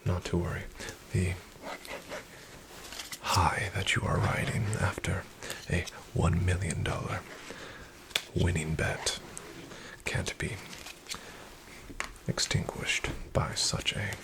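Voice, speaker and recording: A man in a soft-spoken, whispered ASMR roleplay as a casino dealer, speaking in a polite, formal, deferential way. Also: low-pitched, fairly even intonation, takes it slowly, pauses often.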